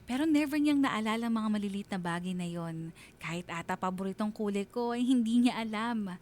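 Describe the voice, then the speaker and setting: A woman playing a reflective single mother, telling her love story in earnest, sentimental, deliberate phrases like a confessional radio drama. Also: medium pitch, animated, a little mellow, lightly acted. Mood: touched, tender. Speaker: narrator of a radio drama letter.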